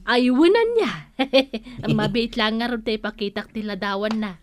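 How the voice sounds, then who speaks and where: Motherly female storekeeper woman actor, radio comedy: chatty, gossipy, teasing, higher register, dry studio sound. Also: expressive, moderate pace, no room sound, natural voice. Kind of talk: comedy radio drama acting.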